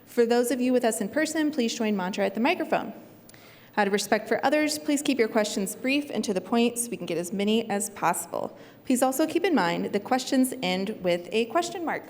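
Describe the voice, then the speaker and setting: A woman's voice: on the high side, lively intonation, a little fast, big-room sound. A woman moderating a public forum, reading formal announcements. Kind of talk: moderating a panel.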